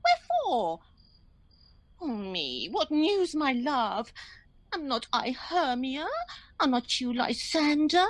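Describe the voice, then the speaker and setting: A woman acting a young heroine in a Shakespeare radio play, bewildered and pleading. Her high voice swoops widely and takes its time over incredulous questions. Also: huge pitch swings, slow, long pauses, dry recording, full character voice. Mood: hurt, disbelieving.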